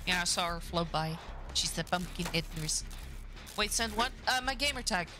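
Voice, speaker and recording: A woman's voice: lowish voice, lively intonation, normal speed, noisy background. A woman whose first language is Dutch, chatting casually on a live stream while playing a game. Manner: chatty, reacts aloud to the match. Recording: game music in the background.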